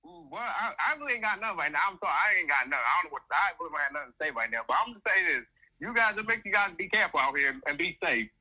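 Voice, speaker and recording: Male caller, husky yet high, quick, casual, unsure of himself. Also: animated, sharp tone, poor audio. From Chicago, United States. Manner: hesitant, self-effacing, repeats himself. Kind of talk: casual chat on a call-in show.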